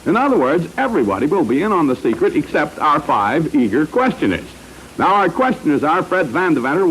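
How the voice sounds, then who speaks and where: Male quiz host, vintage radio style, higher voice, slightly noisy old broadcast. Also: fairly bright tone. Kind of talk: 1940s radio hosting.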